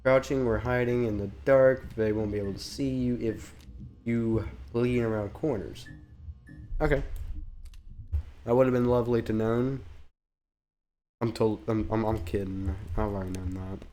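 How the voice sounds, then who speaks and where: A man's voice: medium pitch, fairly even intonation, moderate pace, long pauses, warm tone. A young man with a cold, sounding congested, rambling nervously through a horror game on his channel. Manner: nervous rambling, panicky outbursts. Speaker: gaming youtuber. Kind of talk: let's play commentary.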